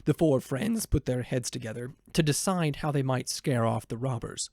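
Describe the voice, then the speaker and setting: A man's voice: mid-range voice, normal speed, darkish tone, dry recording, clean studio sound. A man narrating a children's storybook as a voiceover performer.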